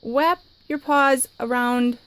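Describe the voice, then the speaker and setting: A woman voicing a tiny, timid male rat pupil who squeaks and says w in place of r. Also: fairly even intonation, slow pace, a bit bright, clean tone.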